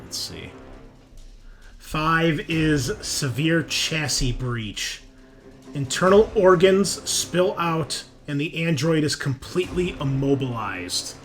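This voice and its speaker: A man's voice: a little high, lively intonation, takes it slowly, faint music behind. A man acting as game master, explaining rules and results in a tabletop roleplaying game.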